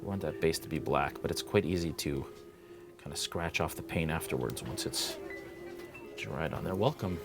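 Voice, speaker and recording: A man hosting a live miniature painting tutorial, relaxed and chatty, thinking aloud as he paints. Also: rumbling low, normal speed, music in the background.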